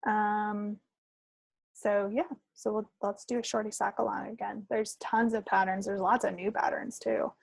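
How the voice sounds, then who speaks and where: American woman co-hosting a podcast, casual chat, gravelly fry, dry close sound. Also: medium pitch, flat delivery, a little slow, no echo. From United States. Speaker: podcast co-host.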